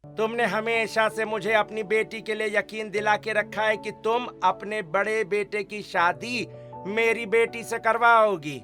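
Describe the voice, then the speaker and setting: Guy doing a pushy scheming rich father, cartoon story, high sharp voice. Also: fairly even intonation, a little slow, soft background music. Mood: demanding. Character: greedy scheming rich father, impatient, male.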